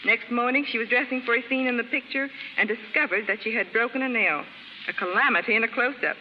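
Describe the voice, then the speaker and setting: A woman's voice: on the high side, fast. A woman delivers a precise, scripted beauty testimonial for 1930s radio. The broadcast sounds dull, with long reverberation and faint hiss. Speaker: beauty salon manicurist giving testimonial.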